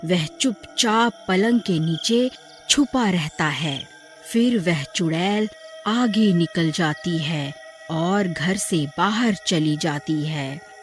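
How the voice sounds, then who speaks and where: Slow, low-pitched woman narrator. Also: soft background music. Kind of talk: scripted horror story narration.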